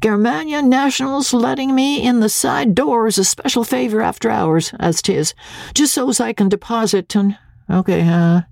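Woman putting on a high-pitched, fussy old riverboat captain. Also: sing-song, measured pace, darkish tone, no echo, clean studio sound. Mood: absent, muttering. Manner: folksy, rustic, exclamatory speech. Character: fussing, excitable old riverboat captain father.

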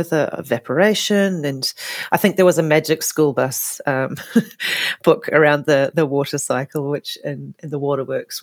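A woman, a guest answering interview questions thoughtfully, with frequent pauses and restarts. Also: low-pitched, dry recording. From New Zealand. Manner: thoughtful, many restarts and fillers. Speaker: guest, author and poet. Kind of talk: hesitant conversational interview.

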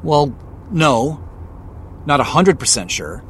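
An actor playing an anxious, stubborn amateur investigator in a naturalistic audio drama, speaking in an earnest, hesitant, conversational way. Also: male voice, a little high, big pitch swings, slow pace, a little hiss or hum. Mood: tense, insistent.

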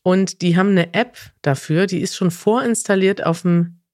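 A woman's voice: on the low side, normal speed, darkish tone, no room sound. Chatty woman, very dry sound. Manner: chatty, emphatic, self-correcting. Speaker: podcast co-host. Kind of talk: casual podcast chat. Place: new office.

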